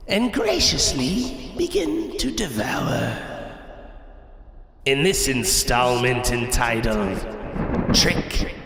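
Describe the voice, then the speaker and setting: A man acting as a theatrical gothic horror host, ominous and florid. He speaks slowly, with dramatic swoops in pitch, through long echoing reverb. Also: exaggerated voice. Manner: ominous, florid, slow and deliberate. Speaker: show host introducing the tale. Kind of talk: gothic scripted horror introduction. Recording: cavernous long reverb, crowd sound behind.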